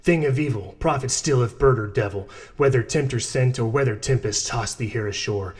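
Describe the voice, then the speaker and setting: A man's voice: medium pitch, moderate pace, darkish tone, husky, natural voice. A man playing a desperate, grief-maddened narrator of a gothic poem, crying out theatrically and pleading with passion. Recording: long cavernous reverb on the voice.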